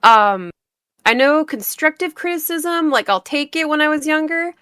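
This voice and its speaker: A woman's voice: sits high, expressive, normal speed, a bit bright, no room sound, studio quality. A woman guest in an interview, chatting casually, laughing often and filling her speech with "like". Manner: giggly, fills her speech with "like". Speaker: guest, VTuber.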